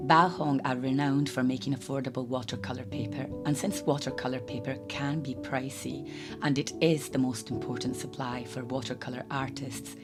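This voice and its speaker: A woman hosting a watercolour channel, talking in a hoarse, croaky voice from a cold as she narrates a chatty tutorial voice-over. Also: extremely low pitch, steady tone, moderate pace. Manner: chatty, explanatory, lightly humorous. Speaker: art channel host. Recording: voice-over with guitar music behind.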